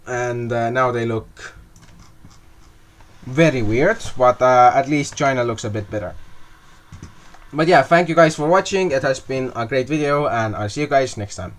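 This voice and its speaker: A young man casually narrating a map video, halting often with fillers and restarting words as he goes. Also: mid-range voice. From half Russian. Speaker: mapping video creator.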